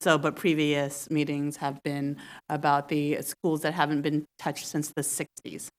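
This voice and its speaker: A woman's voice: low voice, level tone, unhurried. A woman on a school board, speaking carefully and hesitantly with many fillers during a meeting. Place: civic center meeting hall.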